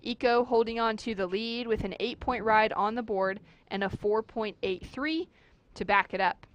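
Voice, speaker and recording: A woman's voice: a little high, normal speed, dull audio. A woman calls a surfing heat live from the commentary booth. She runs through scores and surfers in an upbeat, fluent play-by-play. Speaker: surf commentator.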